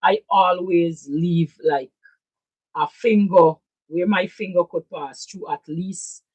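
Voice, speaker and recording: A hoarse woman, chatting casually through a live sewing tutorial, repeating her points and often checking in with "right?". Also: on the low side, takes it slowly, a little mellow, no room sound, rough recording. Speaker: sewing and pattern-drafting teacher. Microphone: camera set up in front of her.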